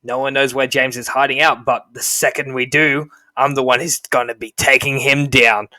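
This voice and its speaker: A man's voice: mid-range voice, steady tone, moderate pace, pushed and bright, no echo, lightly acted. A man playing a crude, domineering, aggressive army sergeant in a wasteland game cutscene. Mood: brash, grim. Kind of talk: scripted game cutscene dialogue.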